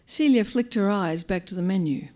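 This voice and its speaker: A woman reading a short story live to an audience, with wry comic narration, dramatic emphasis and deliberate pauses. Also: mid-range voice, animated, darkish tone. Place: live on stage at a performance venue.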